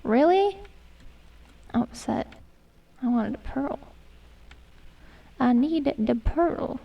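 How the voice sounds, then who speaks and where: Young woman streamer, hoarse and gravelly, high-pitched, chatty and self-deprecating. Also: lively intonation, slow pace, far from the mic, lo-fi sound. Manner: chatty, self-mocking, hums and mutters to herself. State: losing her voice, hoarse. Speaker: gaming streamer. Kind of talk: casual gameplay commentary.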